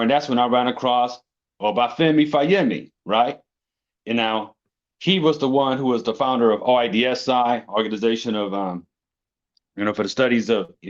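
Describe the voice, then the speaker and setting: Man, podcast guest, relaxed storyteller, keeps saying you know and right, muffled lo-fi sound. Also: mid-range voice. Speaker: interview guest. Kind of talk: casual conversation.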